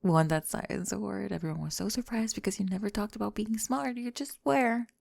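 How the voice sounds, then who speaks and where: A young woman playing a guarded, flustered college student in an intimate ASMR roleplay, speaking softly and haltingly, often slipping into whispers. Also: medium pitch, gravelly, dry recording, studio quality. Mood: awkward, wistful. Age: young adult. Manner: halting, sighing, self-conscious. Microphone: close microphone, dry.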